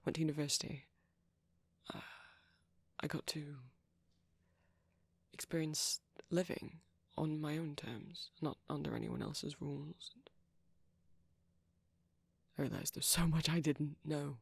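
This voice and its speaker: A woman voicing a shy, gentle young male vampire boyfriend in an ASMR roleplay, speaking breathily and hesitantly with small stammers. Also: low voice, steady tone, measured pace, vocal fry, long pauses, husky, no room sound, exaggerated voice. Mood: wistful, hesitant. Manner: hesitant, stammering, softly whispery. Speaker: audio roleplay performer.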